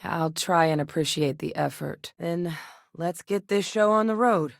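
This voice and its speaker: A woman voice actor playing a serious, determined officer in a sci-fi video game, speaking in a measured, businesslike way. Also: fairly low pitch, creaky, no room sound, clean studio sound. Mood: businesslike, resolute. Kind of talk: scripted game character dialogue.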